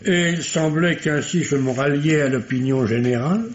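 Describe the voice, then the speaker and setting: An actor playing a solemn, wordy male doctor in a vintage radio mystery, with pompous, deliberate, literary delivery. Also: on the high side, natural voice. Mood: grave, precise. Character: formal, verbose, solemn male country doctor. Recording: dull, noisy old radio recording.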